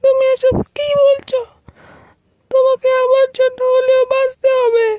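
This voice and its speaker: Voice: extremely high pitch, monotone, a little slow, background noise. An actor voicing an anxious, pleading young village boy, a devoted son in a cartoon. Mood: tearful, pleading. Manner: pleading, urgent.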